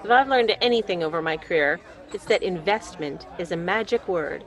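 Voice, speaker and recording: A woman's voice: fairly low pitch, expressive, fairly quick, some chatter behind. Woman acting scheming, devoted lady crook. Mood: smug, fond. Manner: romantic, theatrical phrasing. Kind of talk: period radio melodrama acting.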